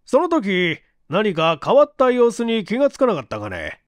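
A weary, probing police chief in a detective story, acted by a male reader. His questions come in a high, clear voice with swooping intonation. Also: huge pitch swings, normal speed, no room sound. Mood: languid, probing.

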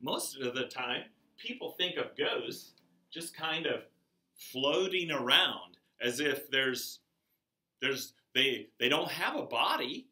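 Slow, emphatic older man preaching in a classroom. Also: mid-range voice, expressive, pushed and bright. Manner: slow, emphatic, rising on key words, gesturing at a whiteboard. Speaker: chapel speaker. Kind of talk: children's chapel sermon. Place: classroom with a whiteboard, indoors. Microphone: camera across the room. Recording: echoey classroom from far off.

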